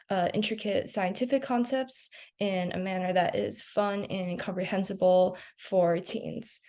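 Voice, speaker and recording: A woman's voice: mid-range voice, darkish tone. A young woman hosting a student-run science webinar on a video call, introducing the organization and reading out questions. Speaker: webinar host.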